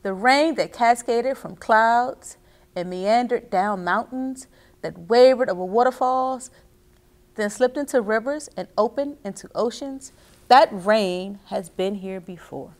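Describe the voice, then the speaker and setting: A middle-aged woman reading and explaining to children as a story time host, expressive and speaking directly to her young listeners. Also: fairly high pitch, a little slow. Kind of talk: children's read-aloud and instruction. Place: small indoor reading nook with bookshelves.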